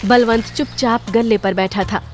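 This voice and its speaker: High-pitched woman narrating a story. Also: steady tone, moderate pace. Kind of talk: scripted cartoon story narration. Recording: music under the voice.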